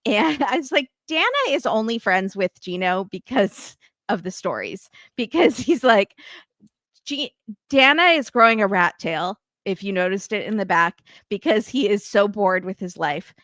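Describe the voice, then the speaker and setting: A woman with a bright, forceful voice co-hosts a reality TV recap podcast, laughing often and firing off sarcastic remarks. Her voice sits in a middle range, and the recording is dry and close. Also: medium pitch, expressive, moderate pace, no room sound. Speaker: podcast co-host. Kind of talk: casual reality TV recap chat.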